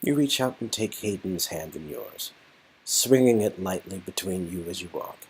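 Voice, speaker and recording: A man's voice: deep voice, expressive, moderate pace, slightly rough, low-quality recording. A man reading an interactive story game aloud as narrator, with casual joking asides.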